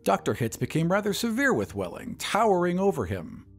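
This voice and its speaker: A man's voice: high-pitched, huge pitch swings, brisk, faint music behind. A man narrating a scripted story in a dramatic audio-drama style.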